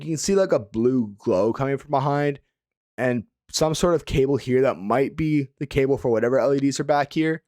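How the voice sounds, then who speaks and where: A young man with a slightly hoarse voice, casually reviewing viewers' gaming setups in a laid-back way, full of fillers. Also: medium pitch, no room sound, studio quality. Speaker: gaming video creator.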